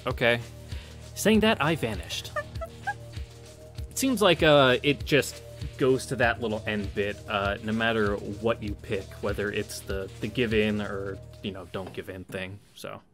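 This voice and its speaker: A man casually reading a visual novel aloud as a streamer, with halting, wry asides. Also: fairly low pitch, fairly even intonation, a bit bright. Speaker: let's play streamer. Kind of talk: casual read-through of a visual novel with commentary. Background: game music.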